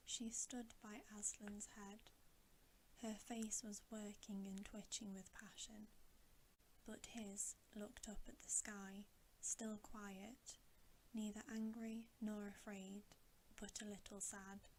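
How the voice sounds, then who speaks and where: A woman reading a bedtime story in a hushed, breathy, deliberate ASMR whisper, close to the microphone. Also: medium pitch, rough recording. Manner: hushed, unhurried, softly deliberate. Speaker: ASMR storybook reader and host. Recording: steady audible hiss.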